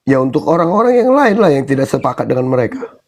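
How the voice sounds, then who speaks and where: A man's voice: mid-range voice, big pitch swings, quick pace, gravelly, darkish tone. A man hosts an informal live online debate, speaking in a blunt, challenging way. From Indonesia.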